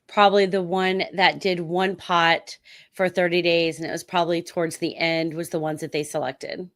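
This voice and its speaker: A woman's voice: lowish voice, level tone, normal speed, fairly bright tone, no echo, clean studio sound. A woman hosting a podcast interview, asking questions and encouraging her guest with short affirmations. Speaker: podcast host. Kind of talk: casual interview conversation.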